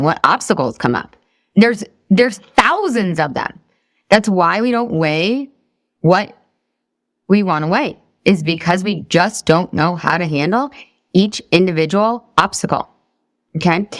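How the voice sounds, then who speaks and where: A woman's voice: on the low side, no echo, clean studio sound. A middle-aged woman from the Boston area, a weight loss coach leading a live session. She talks straight to her group and asks them questions in an upbeat way.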